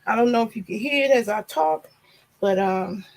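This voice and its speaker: A woman vlogger with a hoarse, nasal voice and a gravelly edge, pitched a little high. She talks to her viewers in a loose, frank and chatty way, drifting from one thing to the next. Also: animated, moderate pace, rough recording. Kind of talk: casual chat to a live audience.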